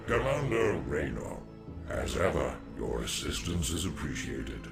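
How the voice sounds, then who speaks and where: Very deep, gravelly alien warrior elder. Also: male voice, very animated, music in the background, heavily acted. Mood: courteous, urgent. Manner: grave, formal, measured oratory. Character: wise, solemn alien dark templar warrior elder. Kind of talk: video game voice acting.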